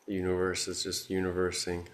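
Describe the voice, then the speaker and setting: A man leading a guided meditation, speaking calmly with long pauses. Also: low-pitched. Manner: slow, calm, with long pauses. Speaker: meditation teacher.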